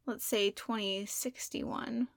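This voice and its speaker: A woman's voice: mid-range voice, steady tone, slow, creaky, no room sound, clean studio sound. A woman playing a character in a tabletop game, impulsive and joking, talking bluntly. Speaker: player.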